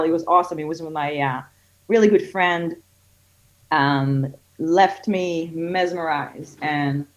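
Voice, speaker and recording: A middle-aged woman from Israel, a guest who runs a kids yoga studio, chatting casually with many fillers. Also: deep voice. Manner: chatty, many fillers, gets excited when telling stories. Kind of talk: casual podcast interview. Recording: dull lo-fi audio.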